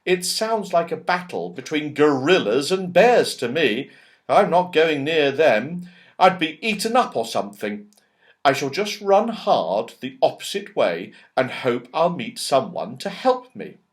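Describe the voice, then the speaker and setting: A man's voice: on the high side, very animated, measured pace. A man voicing a wary boy who thinks aloud in a children's storybook, musing anxiously.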